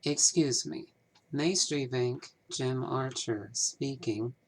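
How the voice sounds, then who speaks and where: A man voicing a polite, businesslike 1930s small-town banker from a mystery game, with measured, formal phrasing. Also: mid-range voice, poor audio. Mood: polite, flustered. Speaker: amateur voice actor. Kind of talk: scripted game character dialogue.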